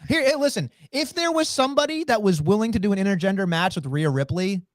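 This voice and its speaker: A man's voice: sits high, animated, fast talker, dry recording, clean studio sound. A man co-hosting a podcast, teasing his partner and bantering about wrestling with plenty of swearing. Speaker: podcast co-host. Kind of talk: casual wrestling chat.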